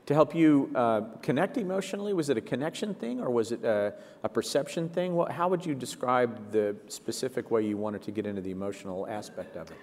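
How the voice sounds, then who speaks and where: A man's voice: medium pitch, a little fast, hardly any pauses, a little mellow. A man conducting a conversational interview onstage at a live speaker event. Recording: reverberant hall.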